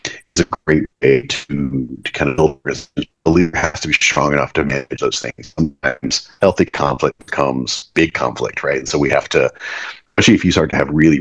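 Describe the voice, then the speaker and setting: A man's voice: a bass voice, slightly rough, low-quality recording. A middle-aged man, a business leader, talking earnestly as a podcast guest and often restarting his words. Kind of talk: reflective podcast conversation.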